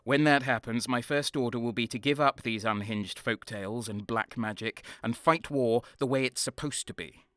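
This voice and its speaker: A man's voice: medium pitch, normal speed, hardly any pauses, fairly bright tone, dry recording, studio quality, lightly acted. An actor playing a grim, sadistic traitor guardsman who is addicted to slaughter, narrating an audio drama with menacing intensity. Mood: defiant.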